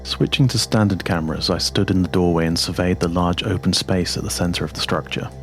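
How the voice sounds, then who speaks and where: A man with a deep voice narrates dark science fiction. His reading is measured and deliberate, like a literary audiobook, telling the story in the first person. Music plays clearly underneath him. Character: introspective four-legged machine with a human pilot's mind.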